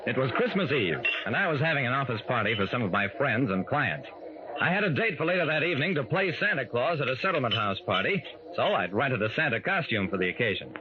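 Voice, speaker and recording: An actor with an American accent playing an affable private detective in an old radio drama. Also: male voice, mid-range voice, sing-song, fast, highs cut off, a crowd in the background, natural voice. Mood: narrating. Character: affable male private detective narrating his casebook. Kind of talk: 1940s-50s radio acting. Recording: noisy, band-limited old radio recording.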